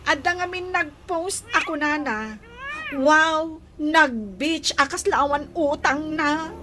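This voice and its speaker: Woman acting a slow, dramatic Ilocano gossip. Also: high voice, bright, forceful tone, exaggerated voice. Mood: distressed. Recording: clear background noise.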